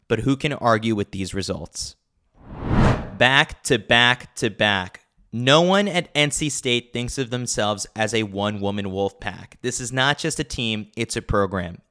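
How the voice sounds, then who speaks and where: A man's voice: mid-range voice, a bit bright, smooth. A man narrating a scripted sports newsletter as a podcast host, with a wry, opinionated way of talking.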